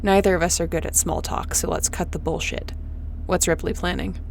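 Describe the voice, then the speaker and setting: A woman plays a hard-edged space captain with a lowish voice and plenty of creak. She is commanding, sardonic and protective in this audio roleplay, with faint noise behind her. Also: fairly even intonation, brisk, close to an everyday voice. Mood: defiant. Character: tough, defiant, protective female starship captain. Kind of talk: sci-fi audio roleplay acting.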